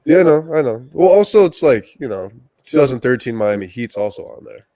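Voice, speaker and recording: A man with a stuffy nose co-hosting a casual sports livestream, joking in a crude deadpan way. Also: mid-range voice, a little fast, no echo. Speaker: podcast co-host. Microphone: livestream mic.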